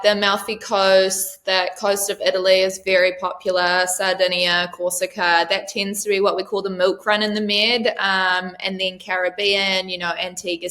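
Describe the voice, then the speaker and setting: A woman's voice: mid-range voice, pushed and bright. A woman in her thirties from New Zealand, chatting casually as an interview guest about her yacht crew work, with lots of "um" and "like". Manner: chatty, frequent "um" and "like".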